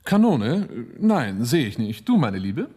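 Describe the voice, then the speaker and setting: An actor playing a wry, unflappable smuggler and husband in a German radio play, dry and mocking. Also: male voice, mid-range voice, big pitch swings, slow, warm tone, slightly rough, heavily acted. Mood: mock-polite, bold.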